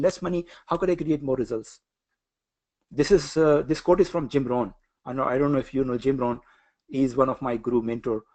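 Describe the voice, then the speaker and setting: A man's voice: fairly high pitch, darkish tone. Middle aged pakistani accent guy coaching a class, earnest, repeats himself, lots of uh. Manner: earnest, repeats phrases, frequent uh fillers. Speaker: career coach. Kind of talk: online coaching session lecture.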